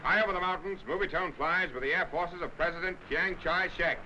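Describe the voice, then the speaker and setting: Male newsreel announcer, 1930s style, high voice, declamatory, dramatic, scratchy noisy soundtrack. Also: brisk, pushed and bright, muffled recording. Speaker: newsreel narrator.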